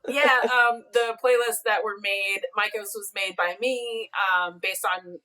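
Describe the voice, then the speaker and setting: A woman's voice: mid-range voice, fairly even intonation, a little slow, sharp tone, rough recording. A woman chatting casually as a podcast guest in a video call interview.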